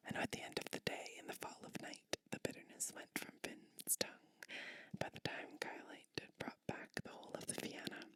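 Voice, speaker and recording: A woman whispering ASMR storytelling and bedtime roleplay in a gentle, soothing manner. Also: no echo. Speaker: ASMR host playing a sleep witch.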